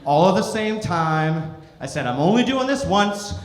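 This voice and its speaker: A middle-aged American man doing live stand-up comedy, telling stories with deliberate pauses and acted-out quotes. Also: high-pitched, animated. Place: indoor comedy club stage. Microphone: stage microphone. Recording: roomy echoing club, some noise.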